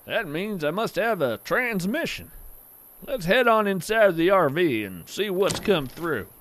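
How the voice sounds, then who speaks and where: A man performs a kindly, eccentric naturalist in an audio drama, whimsical and gentle. His voice is fairly high and swoops widely, with slow, drawn-out phrases and faint music behind it. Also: very animated, a little hiss or hum, full character voice. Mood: mildly curious. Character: folksy, kindly eccentric cryptid naturalist living in an RV. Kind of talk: scripted audio fiction monologue.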